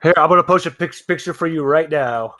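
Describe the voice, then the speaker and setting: A man narrating and improvising as game master of a tabletop game, animated and swearing freely. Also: a little high, brisk, no room sound.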